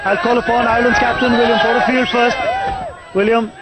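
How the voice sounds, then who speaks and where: A man hosting a post-match presentation outdoors at a cricket ground, interviewing the captains on a handheld mic in a smooth, formal broadcaster manner. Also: very high-pitched, level tone, a little fast, a loud crowd, heavy background noise.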